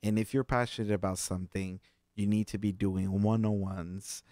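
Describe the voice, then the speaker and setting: Brazilian-accented young man, low voice. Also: flat delivery, normal speed, mellow, dry recording, clean studio sound. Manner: laughs easily, rambling with restarts and fillers. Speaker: guest organizer. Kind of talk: casual interview chat.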